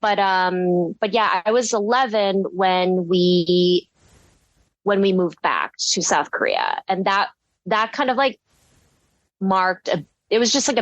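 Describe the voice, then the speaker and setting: A woman's voice: mid-range voice, no echo, highs cut off. A woman in her thirties, a ceramicist telling her story in a casual interview, rambling with lots of fillers and frequent giggles. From United States. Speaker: guest ceramicist.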